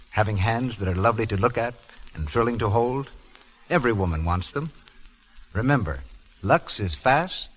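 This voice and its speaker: A man's voice: fairly low pitch, fairly bright tone, low-quality recording. A man with an American accent reading scripted announcements and advertising copy as a 1930s radio announcer.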